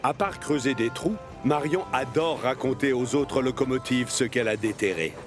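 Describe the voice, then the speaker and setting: A man's voice: mid-range voice, normal speed, music in the background. A man narrating a scripted children's cartoon story.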